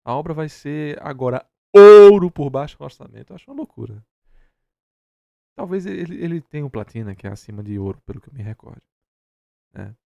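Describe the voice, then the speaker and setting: A Brazilian man speaks in a mellow, unforced tone, recorded dry and close. He is opinionated and informal, debating as a reaction streamer and hammering points by repeating them. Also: a little high, expressive, a little fast, vocal fry, dry recording. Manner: blunt, opinionated, slangy, repeats himself for emphasis. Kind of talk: casual heated debate while reacting to a video.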